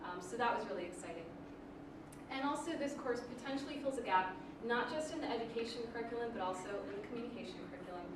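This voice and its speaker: A young woman giving an academic presentation as a graduate student, earnest and full of ums, with light laughs. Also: mid-range voice. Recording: echoey room recorded from far off with noise.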